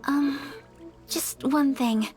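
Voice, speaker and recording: A woman's voice: high-pitched, drawn-out words, darkish tone, faint music behind. An actress playing a gracious, modest, shy young noblewoman in a video game, speaking politely and formally. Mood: nervous, sincere. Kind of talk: English video game voice acting.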